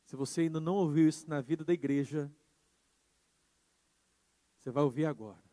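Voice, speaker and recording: A man pastor preaching a sermon with emphasis, moving between quiet passages and loud insistent ones. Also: a little high, brisk. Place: church. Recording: church hall with long reverb.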